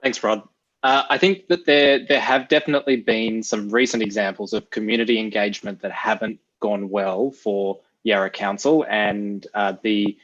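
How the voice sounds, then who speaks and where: A man, a council candidate answering with halting speech and many restarts. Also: on the low side, a bit bright. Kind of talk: unscripted town hall answer. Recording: muffled, dull sound.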